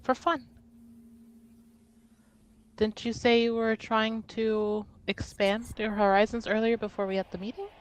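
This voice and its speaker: A woman improvising narration and dialogue in a tabletop roleplaying game, in a halting, giggly, conversational way. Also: fairly high pitch, level tone.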